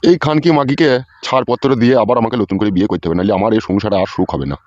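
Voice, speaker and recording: A man's voice: lowish voice, lively intonation, rapid-fire, darkish tone, no echo, lo-fi sound, natural voice. A man voicing a suspicious, hot-tempered old village husband in a comedy cartoon, ranting coarsely. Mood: aggrieved, bitter.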